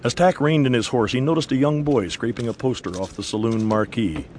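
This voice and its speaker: A man's voice: medium pitch, fairly quick, a little mellow, a little hiss or hum. A man narrating a dramatized Western story in scripted audiobook style.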